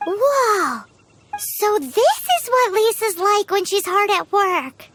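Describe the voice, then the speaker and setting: A woman voicing a tiny, childlike female video game companion, excitable and timid, with a squeaky voice. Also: slow pace, slight background noise, exaggerated voice. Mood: amazed, uneasy. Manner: refers to self in third person, exclaiming. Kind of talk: video game character acting. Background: game music.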